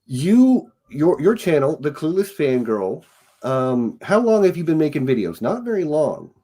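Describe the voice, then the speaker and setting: A man's voice: fairly high pitch, big pitch swings, unhurried, mellow. A man hosting a podcast, talking over a video call in a friendly, upbeat way. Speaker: podcast host. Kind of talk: casual interview chat.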